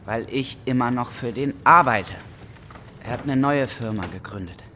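A man's voice: mid-range voice, normal speed, pushed and bright, a little hiss or hum, lightly acted. An actor playing a scornful, taunting working man with a blunt, sneering way of talking in a radio drama. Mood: blunt, defensive. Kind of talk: naturalistic radio drama acting.

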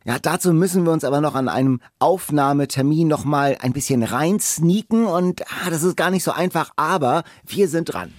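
A middle-aged man co-hosting a podcast, talking in a playful, excitable, conversational way. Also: a little high, normal speed, hardly any pauses, no echo, studio quality. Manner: playful, excitable, given to acting out lines. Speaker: podcast co-host. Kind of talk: enthusiastic conversational podcast talk.

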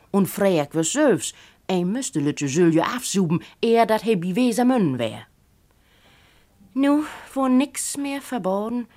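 Female storyteller, Low German accent, lowish pitch, very lively intonation for humorous prose. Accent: northern German, Low German. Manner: animated, acts out characters' speech. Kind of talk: expressive literary reading of a humorous story.

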